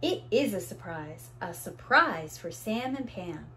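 A woman in her thirties reading a picture book aloud to young children, with deliberate, expressive phrasing. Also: lowish voice, slight background noise. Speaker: preschool teacher, story time host. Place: small room indoors with bookshelves.

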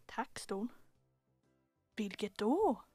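A woman's voice: on the high side, sing-song, mellow, faint music behind. A woman, an amateur voice actor chatting and joking casually between takes.

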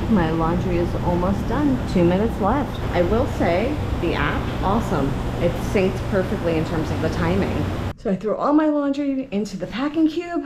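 A woman's voice: mid-range voice, heavy background noise. A woman in her thirties chatting casually and explaining things as a vlogger, on a handheld camera at arm's length. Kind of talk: casual vlog chat and walk-through. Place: resort hotel room, laundry room and outdoor walkways.

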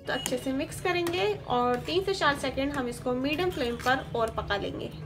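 A woman hosting a cooking channel, talking through a recipe one step at a time in a steady, teaching manner and repeating each step. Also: sits high, normal speed, over music. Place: home kitchen. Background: sizzling and stirring in a pan.

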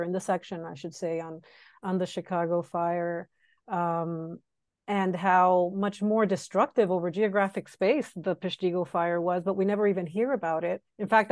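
A woman's voice: on the low side, darkish tone, no room sound. Chatty woman with a Miami Cuban accent. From Miami, Cuban-American. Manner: chatty, laughs easily, restarts phrases. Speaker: guest historian. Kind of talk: academic seminar talk. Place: home room. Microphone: wired earbud mic on video call.

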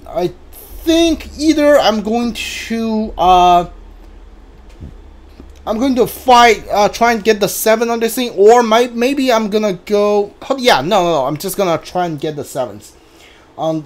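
Male streamer, high voice, casual and hesitant delivery, circles back over his words while chatting to viewers. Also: expressive. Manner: hesitant, halting, repeats himself. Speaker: game streamer. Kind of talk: casual live gameplay stream chat.

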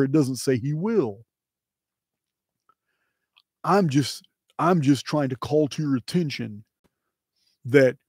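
Middle-aged guy preaching at his viewers, mellow tone, slightly high pitch, dry close mic. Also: dry recording. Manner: emphatic, preachy, repeats phrases for emphasis. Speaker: channel host. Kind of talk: impassioned monologue to viewers. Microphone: close studio microphone on boom arm.

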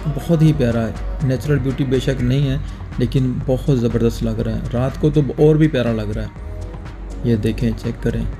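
A young man from Pakistan narrating a casual travel vlog at night outside an airport, talking calmly to viewers through a phone held at arm's length. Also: mid-range voice. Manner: calm, explanatory, talks to viewers directly. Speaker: travel vlogger. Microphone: phone camera held at arm's length.

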